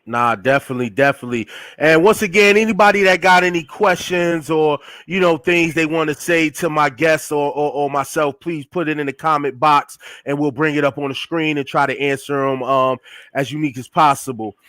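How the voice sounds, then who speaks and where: A man's voice: a little high, fairly quick, a bit bright, no echo. A man hosting a casual interview, talking conversationally and often checking in with "you know what I'm saying". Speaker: host interviewing a guest.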